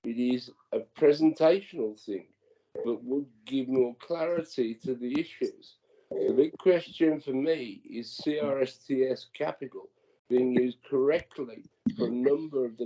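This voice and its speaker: Dark-voiced councillor, muffled audio. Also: male voice, medium pitch. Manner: measured, probing questions read from notes. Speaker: councillor questioning officers. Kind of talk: formal committee meeting.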